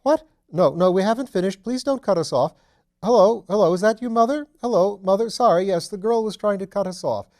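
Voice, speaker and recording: Gentleman detective for audiobook, played by a man: amiable, breezy, well-bred courtesy, pitched up, mellow. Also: a little fast. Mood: lively, curious. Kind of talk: audiobook character dialogue.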